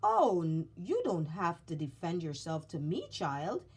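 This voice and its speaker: A woman voicing a big, kindly, fatherly male woodcarver in a children's storybook, reassuring and deliberate. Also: fairly low pitch, huge pitch swings, darkish tone, breathy edge, exaggerated voice.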